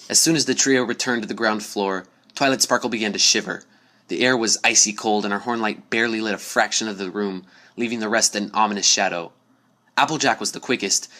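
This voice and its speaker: Bright-voiced man reading a dramatic story. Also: medium pitch. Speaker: story reader. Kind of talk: dramatic scripted narration of a horror fan story.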